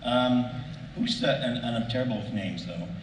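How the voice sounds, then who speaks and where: A middle-aged man talks casually as a panelist, pausing and restarting as he looks for words. He is heard from a distance in a big reverberant hall with faint noise underneath. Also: mid-range voice. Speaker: voice actor panelist. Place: large convention panel room.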